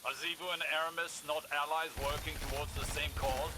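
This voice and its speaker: An actor playing a weary, obsessed older warlock scholar in a video game, speaking in clipped, formal, portentous phrases. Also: male voice, a little high, level tone, brisk, noisy background, close to an everyday voice. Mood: grave, wary. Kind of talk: dramatic video game dialogue. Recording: loud music behind the voice.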